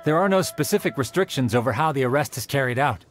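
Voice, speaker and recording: An actor playing an earnest, dutiful young soldier in a fantasy video game, speaking formally and courteously in measured phrases. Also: male voice, medium pitch, lively intonation, soft background music, lightly acted. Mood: formal, reassuring. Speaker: game character giving quest briefings. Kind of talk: scripted video game dialogue.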